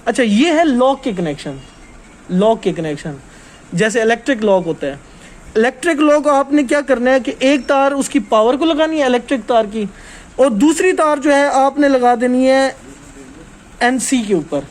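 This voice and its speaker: A man's voice: very high-pitched, animated, moderate pace. A man giving an informal hands-on technical lesson as a trainer, explaining step by step and often checking that listeners follow.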